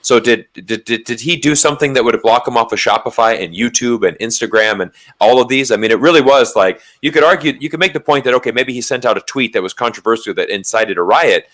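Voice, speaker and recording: A man's voice: medium pitch, quick pace, few pauses, fairly bright tone. A man from Florida with an American accent, chatting casually as a livestream co-host, emphatic and repeating words for stress. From Florida, United States. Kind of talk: casual livestream political chat.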